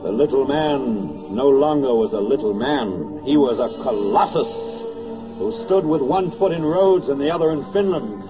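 An actor playing a grave, bitterly ironic wartime narrator in a 1940s radio drama, speaking in measured, declamatory phrases. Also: male voice, mid-range voice, lively intonation, lightly acted. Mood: sardonic. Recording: dull vintage 1940s radio recording, music behind. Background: music.

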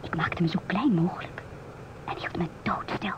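An actress playing a musing, independent stewardess in her twenties in a radio drama, speaking in a hushed, often near-whispered voice. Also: fairly low pitch, moderate pace, natural voice. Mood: wary whisper. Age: 20s. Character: musing, independent 27-year-old divorced ship stewardess. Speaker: narrator and stewardess. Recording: muffled old radio recording, clear hiss.

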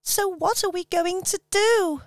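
A woman's voice: very high-pitched, unhurried. A woman voicing a polite, meek female polar bear in a radio pantomime.

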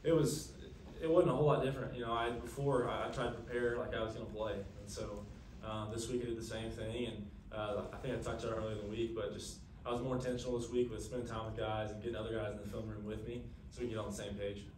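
A young man answering questions at a postgame press conference, earnest and quick, with lots of fillers. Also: on the low side, little pitch movement, a little mellow, slight background noise. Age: young adult. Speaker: college quarterback. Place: press room, seated at a table. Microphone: table mic, camera at a distance. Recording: echoey room, from a distance.

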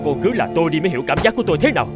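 Animated, high-voiced husband. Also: male voice, racing, music in the background. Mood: cold, bitter. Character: bitter, cold husband who turns terrified and begging. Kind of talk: Vietnamese cartoon voice acting.

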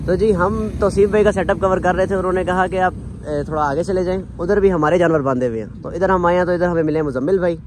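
Fast-talking man at a cattle market. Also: high voice, steady tone, a little hiss or hum. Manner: quick, repeats prices to viewers. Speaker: vlogger interviewing a cattle seller. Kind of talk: on-location interview.

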